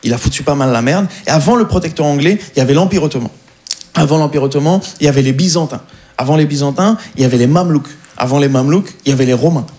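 A man performs stand-up comedy in a club, his voice slightly high. He tells his jokes with animated energy and slows right down when he lands a punchline.

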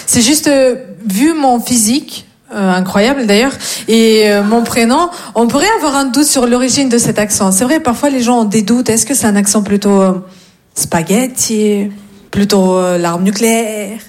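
Young Russian-accented female stand-up with a deadpan teasing delivery and a fairly high voice, in an echoey club. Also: moderate pace. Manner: teasing, deadpan jabs at the audience. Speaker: stand-up comedian. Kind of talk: stand-up comedy set. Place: comedy club stage. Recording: echoey club with crowd laughter. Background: audience laughter.

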